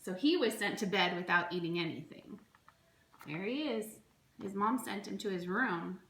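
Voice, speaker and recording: A woman reading a children's picture book aloud in a dramatic, expressive and deliberate way. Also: medium pitch. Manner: expressive, deliberate, with exclaimed asides. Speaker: storybook reader for children. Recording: roomy echo, faint background noise.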